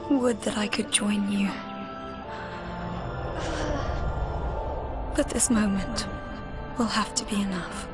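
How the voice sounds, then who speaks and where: A woman playing a gentle, solemn young noblewoman in a video game, speaking formally and poetically in a breathy near-whisper. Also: mid-range voice, fairly even intonation, moderate pace, over loud music, close to an everyday voice. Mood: wistful, loving.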